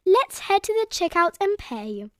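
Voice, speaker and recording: A woman voicing a kind, sensible mother pig in a children's storybook, explaining things gently. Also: extremely high pitch, sing-song, unhurried, no room sound, clean studio sound, voice pushed up, exaggerated voice.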